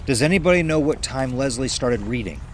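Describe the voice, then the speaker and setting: A man's voice: medium pitch, lively intonation, a little fast, slight background noise, voice pushed up, close to an everyday voice. A man playing a practical man leading frightened friends in an audio horror drama, speaking firmly and emphatically. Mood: urgent, firm.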